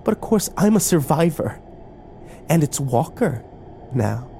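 Man putting on a shrill, snide young woman. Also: sing-song, unhurried, a little mellow, a little hiss or hum. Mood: cool, cooing. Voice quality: shrill, high-pitched. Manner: scoffing, stammers when cornered. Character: shrill, smug, snide young woman, sheriff's possessive wife.

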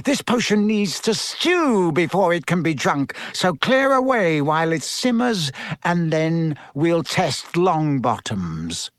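A man's voice: fairly high pitch, very animated, dry recording, studio quality. A man voicing a strict, sneering male teacher in a storybook, cold, precise and sarcastic. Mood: commanding, scornful. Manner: cutting, precise, sarcastic, drawn-out words.

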